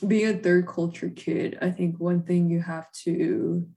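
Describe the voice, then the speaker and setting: A young woman hosting a casual interview, talking in a halting, conversational way peppered with "like". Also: on the low side, steady tone, mellow. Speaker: podcast host, interviewer.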